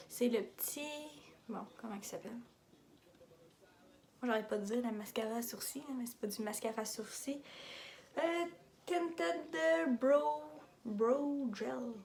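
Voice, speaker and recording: A woman's voice: on the high side, darkish tone. A young woman with a Québécois French accent talking casually through her everyday makeup routine, rambling into asides and mixing in English beauty terms. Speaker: makeup vlogger.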